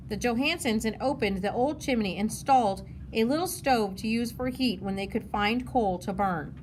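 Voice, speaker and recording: Woman narrator, storybook read-aloud, fairly high voice, faint noise. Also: poor audio.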